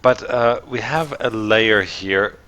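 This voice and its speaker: Low-voiced man casting a live game. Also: slow pace. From Germany. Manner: relaxed, conversational, thinks aloud with fillers. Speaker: esports caster.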